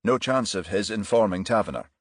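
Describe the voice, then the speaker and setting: Man voicing a measured senior police chief. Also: on the low side, no room sound, studio quality. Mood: cautious. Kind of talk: crime audiobook dialogue.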